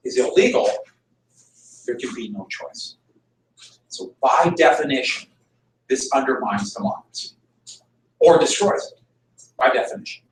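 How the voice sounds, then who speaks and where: A male history teacher giving a conversational classroom lecture, repeating key points for emphasis. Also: a little high. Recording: echoey room, mic far off.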